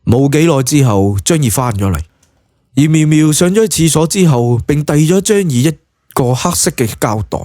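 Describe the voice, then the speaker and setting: A man's voice: a little high, animated, moderate pace, warm tone, no room sound, studio quality. A man with a Cantonese accent narrating a scripted story dramatically, as in an audiobook.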